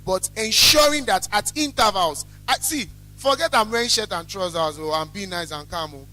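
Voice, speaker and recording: A young man with a Nigerian accent gives a motivational business talk in a very high, bright, loud voice. He is blunt and emphatic, often repeating a phrase to drive it home. Faint music plays behind him. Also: moderate pace. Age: young adult. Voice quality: loud, carrying. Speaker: small chops business owner, guest speaker. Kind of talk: motivational talk to a student audience.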